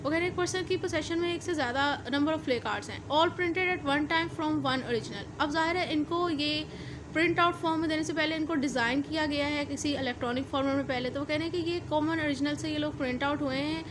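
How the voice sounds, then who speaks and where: A woman with a Pakistani accent teaches in a distinctly high voice. She explains step by step, repeating and rewording points and slipping in English technical terms. Clear background noise runs behind her. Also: fairly quick. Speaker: law lecturer. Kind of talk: explanatory lecture mixing in English legal terms.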